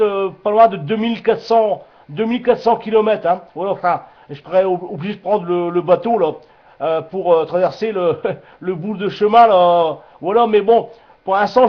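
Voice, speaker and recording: A man chatting casually over amateur radio, hesitant and repetitive, often searching for his words. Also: high voice. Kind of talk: casual radio contact chat. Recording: muffled amateur radio transmission.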